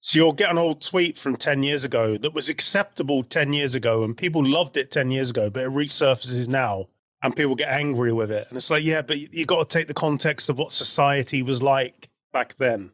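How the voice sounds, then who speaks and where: A British man with a medium-pitched voice talks on a podcast he co-hosts. The sound is dry, with no room around it, and he argues his points in loose, casual conversation. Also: fairly quick, a bit bright, dry recording. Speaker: podcast co-host. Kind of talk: casual opinionated podcast chat.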